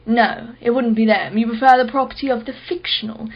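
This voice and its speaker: A high female voice, an actress playing a moneyed, skeptical customer in a radio drama. She is theatrical and sarcastic, relishing long mocking phrases. The recording is a little dull and lo-fi. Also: fairly even intonation, a little fast. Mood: haughty, teasing. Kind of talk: radio play acting.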